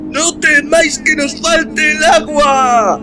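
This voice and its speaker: Religious pioneer, super high and drawn out, preachy and loud, western audiobook, music n crowd noise. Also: male voice. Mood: fervent. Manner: declamatory, loud, slow. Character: fervent devout male pioneer declaiming his faith.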